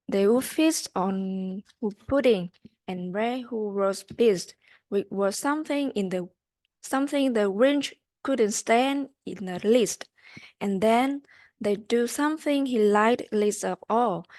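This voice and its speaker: A young adult woman, a student, reading a rhyming storybook aloud haltingly, repeating words as she goes. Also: fairly high pitch, darkish tone, no room sound.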